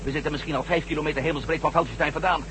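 An actor playing a wry, bantering journalist and adventurer in a science-fiction radio drama. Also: male voice, on the high side, steady tone, rapid-fire, background noise, natural voice. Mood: animated, sceptical. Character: wry adventurous male journalist, former astronaut.

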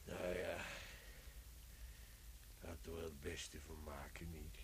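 A man's voice: a bass voice, flat delivery, slow, gravelly, exaggerated voice. A man acting in a radio play as an aging, chatty, stubborn and touchy labourer. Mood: chatty, musing. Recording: noisy old radio play recording.

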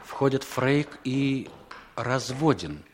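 A man narrating stage directions in a calm, matter-of-fact way for a 1960s radio drama. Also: mid-range voice, drawn-out words, a little hiss or hum.

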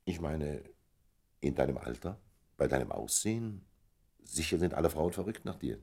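An actor playing a reserved, courteous man and loyal confidant in a German radio play, speaking in a measured, understated way. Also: very animated, dark tone, full character voice. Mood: gently probing. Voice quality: very deep, gravelly, husky.

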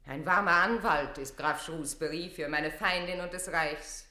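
A bossy regal queen in old-school radio drama, lowish sharp voice, declaiming, roomy echo. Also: unhurried. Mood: stern indignant. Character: proud, commanding, imperious queen. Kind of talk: classical German radio play acting.

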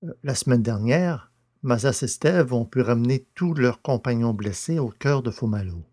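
A man's voice: mid-range voice, expressive, normal speed, mellow. A man hosting a podcast and narrating, with a scripted, storytelling delivery.

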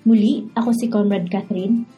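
Smooth, mellow Filipino woman narrating over music. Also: medium pitch, fairly even intonation, fairly quick, highs cut off. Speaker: radio drama host and narrator. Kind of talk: scripted radio narration. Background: background music.

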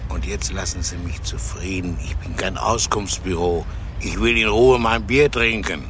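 An actor playing a surly, irritable pub regular in a radio crime drama, talking in a gruff, curt way. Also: male voice, low voice, moderate pace, a bit bright, slight background noise. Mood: irritated, threatening. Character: surly, grumbling male pub regular, quick to threaten.

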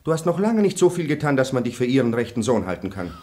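A man's voice: medium pitch, big pitch swings, quick pace, dark tone, lightly acted. An actor playing a cynical male robber accomplice who mocks and laughs in an old radio play. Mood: mocking. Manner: mocking, greedy, laughs. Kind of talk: 1960s radio play acting.

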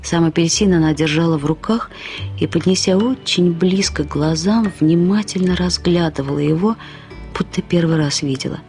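A woman reading a literary short story as narrator, with expressive, reflective delivery. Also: lowish voice, normal speed, faint music behind.

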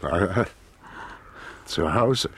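An actor in a German radio play playing an old, taciturn grandfather, gruffly reserved and terse in his way of talking. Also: deep voice, very slow, fairly bright tone, a little hiss or hum, heavily acted. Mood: resigned. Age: older. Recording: farmyard with clucking chickens. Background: chickens and birds.

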